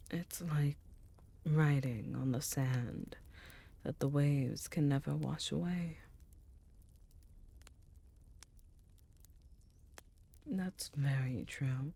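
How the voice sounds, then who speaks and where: An ancient female succubus demon queen, performed by a woman for an intimate ASMR roleplay. Her voice is very deep, dark and mellow, slipping often into soft breathy whispers. She speaks slowly, with a tender, teasing and remorseful temper. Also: heavily acted. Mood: remorseful, reflective.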